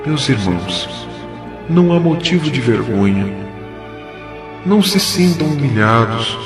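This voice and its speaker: A man playing a kindly spirit mentor in an audio drama, preaching in a measured, earnest and solemn way. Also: mid-range voice, very animated. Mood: tender, reassuring. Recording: music behind the voice. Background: music.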